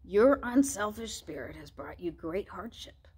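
A woman voicing a gentle, wise old magic frog with a gravelly voice, speaking slowly and with command. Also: medium pitch, big pitch swings, exaggerated voice. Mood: comforting.

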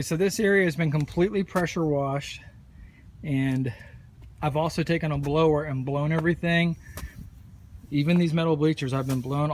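A man's voice: on the high side, background noise. A man talking casually and matter-of-factly as he walks a job site, with fillers and restarts, recorded on a phone outdoors in a stadium. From United States. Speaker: pressure washing contractor. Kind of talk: casual walkthrough narration. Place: outdoor high school stadium stands.

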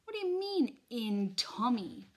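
Lady doing a worked-up ten year old boy, high and loud, yelling and begging, storybook reading. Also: very animated, unhurried. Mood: pleading. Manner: shouting, pleading. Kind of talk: storybook read-aloud.

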